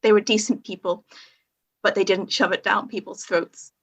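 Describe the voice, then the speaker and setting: Husky young Northern Irish woman. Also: medium pitch, fairly even intonation, normal speed, a bit bright, dull audio. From Northern Ireland. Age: young adult. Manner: frank, self-mocking, laughs at own story. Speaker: podcast guest. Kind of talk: casual conversation.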